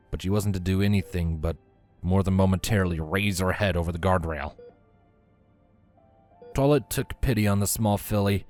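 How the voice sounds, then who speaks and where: A man's voice: sits low, darkish tone. Man narrating, faint music behind. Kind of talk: scripted story narration.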